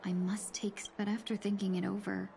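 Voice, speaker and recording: An actress voices an elegant young fox-woman merchant for a game. Her mid-pitched voice is courteous and measured, with a sly, teasing edge. Clear music plays behind her. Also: level tone, close to an everyday voice. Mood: composed, resolute. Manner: formal, courteous, measured, gently playful. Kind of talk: scripted game dialogue.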